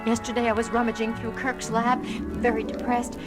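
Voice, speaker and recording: An actress playing a distraught, remorseful wife, earnest and apologetic, in a 1970s superhero record drama. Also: female voice, on the high side, completely flat delivery, normal speed, lightly acted. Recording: loud music bed under voice.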